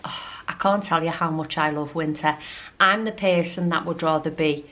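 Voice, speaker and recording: A woman's voice: deep voice, steady tone, normal speed, pushed and bright. Chatty middle-aged woman, noisy background. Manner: rambling, chatty and informal, with frequent chuckles. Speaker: lifestyle vlogger. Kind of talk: casual chatty vlog to camera. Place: at home.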